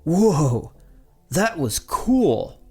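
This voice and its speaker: A man voicing a dim-witted, crude teenage slacker boy from a cartoon, with a slow, dopey, hesitant drawl. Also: fairly high pitch, big pitch swings, mellow, slightly rough, faint music behind, pitched above the performer's own voice, full character voice. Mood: dopey awe.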